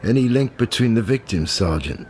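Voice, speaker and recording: A man's voice: mid-range voice, expressive, moderate pace, a little mellow, natural voice. A man in his fifties playing a cynical, world-weary journalist in a radio play, with a wry, dry manner. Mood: cheeky, probing. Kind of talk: radio play narration and dialogue.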